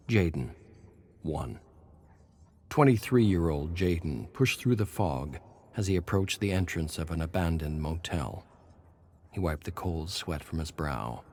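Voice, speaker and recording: A man with a low voice delivers dramatic horror narration. His reading is deliberate and ominous, over faint background noise. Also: a little mellow.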